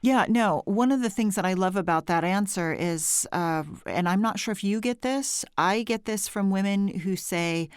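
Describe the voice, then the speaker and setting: A woman from California hosting an interview, chatty and often laughing, with some vocal fry. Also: medium pitch, moderate pace, few pauses, no room sound, studio quality. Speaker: podcast host. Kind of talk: conversational interview.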